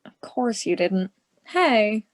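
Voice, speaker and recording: A woman playing a hard, sceptical female prisoner in a radio play, speaking in a measured, blunt, questioning way. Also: medium pitch, sing-song. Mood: commanding. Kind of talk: theatrical radio play dialogue.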